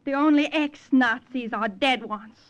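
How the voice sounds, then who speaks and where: An actress playing a fiery woman, sharp and imperious, in 1940s radio style. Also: high voice, measured pace, highs cut off. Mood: furious. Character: fiery young French Resistance woman, hates Nazis.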